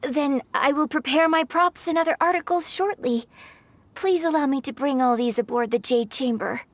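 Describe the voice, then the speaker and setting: A woman's voice: sits high, moderate pace, no room sound. An actress playing a graceful, deferential female opera performer in a video game, polite and genteel in speech. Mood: grateful.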